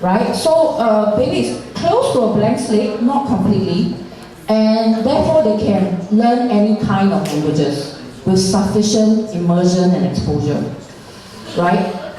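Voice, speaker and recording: A woman's voice: mid-range voice, expressive, unhurried, dark tone. A woman teacher gives a rambling classroom lecture over slides, full of fillers and tag questions. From Singapore. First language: not Mandarin. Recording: very echoey room, far from the mic.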